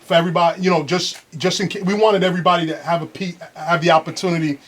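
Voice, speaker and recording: A man's voice: high-pitched, fairly even intonation, quick pace, fairly bright tone, a little hiss or hum. A middle-aged man talking casually in a recording studio about his clothing line, full of restarts and "you know". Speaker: streetwear brand founder. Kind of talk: casual chat. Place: recording studio control room.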